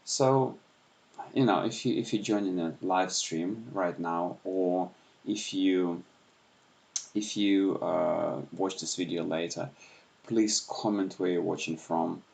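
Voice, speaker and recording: A man from Ukraine speaks in a Russian accent, his voice very low. He talks softly and unscripted with many ums and restarts, recorded at home in the early morning. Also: takes it slowly, creaky. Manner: speaks softly with frequent hesitations. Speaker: YouTuber. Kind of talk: unscripted livestream talk.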